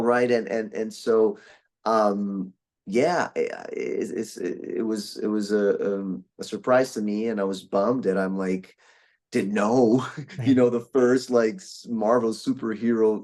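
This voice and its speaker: A young man from Brazil talks casually in a somewhat low voice. He rambles and restarts often, filling gaps as he thinks aloud. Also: a little slow, a little mellow. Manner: rambling, with many restarts and fillers. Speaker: guest voice actor. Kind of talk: casual interview chat.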